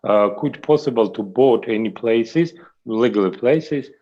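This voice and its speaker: A man from Georgia, speaking halting, broken English with many fillers as a panelist on a video call. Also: medium pitch. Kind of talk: online panel discussion. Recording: muffled lo-fi video call audio.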